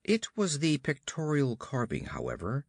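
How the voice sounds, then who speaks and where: A man's voice: medium pitch, wildly swooping pitch, normal speed, husky, dry recording, studio quality. A man reading a horror story as an audiobook narrator, with formal, theatrical emphasis and dramatic delivery.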